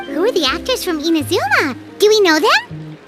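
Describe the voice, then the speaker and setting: A woman voicing a tiny, childlike, eager fairy companion in a video game, squeaky and perky. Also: huge pitch swings, normal speed, loud music behind the voice, exaggerated voice. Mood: eager, cheerful.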